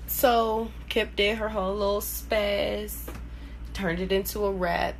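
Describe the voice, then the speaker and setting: A woman telling a personal story casually and candidly, blunt and profane, with lots of slang and animated acting-out of her reactions. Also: medium pitch, slow pace, gravelly, a little hiss or hum, low-quality recording. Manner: animated, acts out quoted reactions. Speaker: storyteller hosting own vlog.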